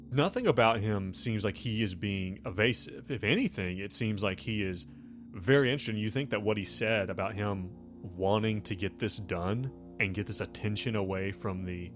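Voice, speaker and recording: A man speaking as game master, narrating a tabletop role-playing game in a casual, improvised way. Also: lowish voice.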